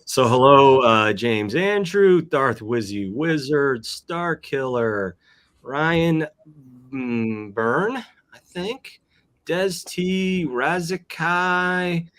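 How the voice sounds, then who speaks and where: A man's voice: a little high, expressive, extremely slow, fairly bright tone. A man hosting a casual livestream over a video call, friendly and rambling as he greets guests and reads out names. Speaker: host.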